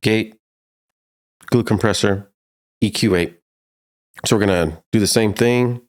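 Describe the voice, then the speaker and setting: A man's voice: lowish voice, measured pace. A man giving a casual, slangy music production tutorial in a laid-back but enthusiastic way.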